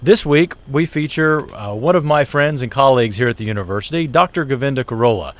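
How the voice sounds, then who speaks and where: A man's voice: medium pitch, animated, fairly quick. A man hosting a business talk show from a university TV studio, introducing guests in an upbeat, chatty way. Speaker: show host, small business center director.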